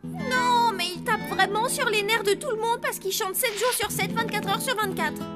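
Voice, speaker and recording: A woman voicing a lively, mischievous young girl who chatters excitedly. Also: very high voice, moderate pace, loud music behind the voice.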